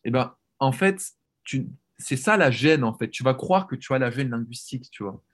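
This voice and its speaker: A man talking as a guest on a podcast, animated and informal, often restarting his phrases. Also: medium pitch, moderate pace. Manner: animated, frequent restarts and "tu vois". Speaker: language coach, podcast guest. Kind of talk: casual podcast conversation.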